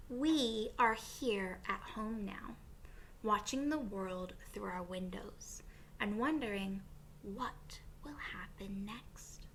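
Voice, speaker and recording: A woman reading a picture book aloud to young children as a teacher would, expressively, with pauses and questions for her listeners. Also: mid-range voice, slight background noise, low-quality recording.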